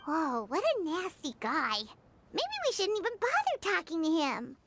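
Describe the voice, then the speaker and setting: Squeaky, blunt little companion girl. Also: huge pitch swings, a little hiss or hum, heavily acted. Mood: disgusted. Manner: blunt, quick to judge. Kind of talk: video game dialogue. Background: soft game music.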